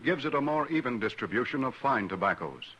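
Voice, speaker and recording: A man's voice: mid-range voice, animated, brisk, bright, forceful tone, breathy edge, muffled recording. A man reading a 1950s radio commercial in a persuasive, polished way. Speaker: commercial announcer.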